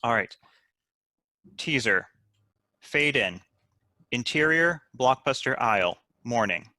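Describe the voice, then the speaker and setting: A man's voice: medium pitch, expressive, slow pace, sharp tone, dry recording. A man reading out stage directions as scripted narration for a screenplay table read.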